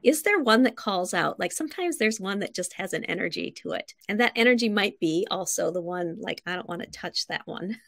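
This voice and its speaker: A woman's voice: medium pitch, expressive, fairly quick, gravelly, few pauses, fairly bright tone, no echo. A middle-aged woman explaining things conversationally in an interview over a video call, in an encouraging, instructive way. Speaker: guest writing coach. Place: home room, indoors, on a video call.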